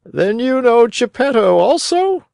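A man's voice: very high-pitched, very animated, unhurried, creaky, a little mellow, clear, resonant tone, dry recording, clean studio sound, pitched above the performer's own voice, full character voice. A man voicing a large, kindly, wise pigeon in a storybook reading. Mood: curious.

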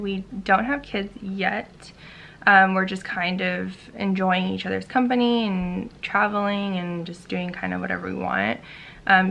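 A woman's voice: mid-range voice, vocal fry, fairly bright tone, a little hiss or hum. A 25-year-old woman from Hawaii with an American accent, chatting casually to camera in an informal, hesitant way that trails off. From Hawaii, United States. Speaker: vlogger. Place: room in a house, indoors.

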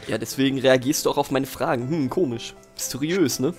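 A man's voice: medium pitch, fairly quick. A young man hosts a gaming session in a casual, joking way. His banter is ironic and teasing, with game music playing behind him. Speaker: Let's Play host. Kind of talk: casual joking gameplay chat.

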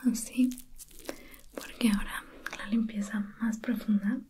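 A woman speaking in a soft, breathy whisper, gently and attentively guiding a listener through an ASMR spa roleplay as a soothing facial therapist. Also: mid-range voice, steady tone, a little slow.

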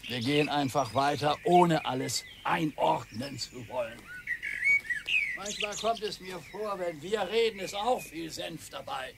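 An actor playing a grumpy, blustering older retired husband in a radio play. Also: male voice, on the high side, expressive, a little slow, vocal fry, background noise. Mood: subdued. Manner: blustering, indignant.

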